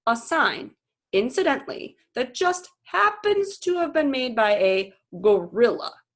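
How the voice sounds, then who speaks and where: A skeptical storybook father, read by a woman, reluctant and tired. He speaks slowly and in a measured way, doubting everything put to him. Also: high voice, sing-song, pitched above the performer's own voice, exaggerated voice. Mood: firmly refusing. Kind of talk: children's novel read-aloud.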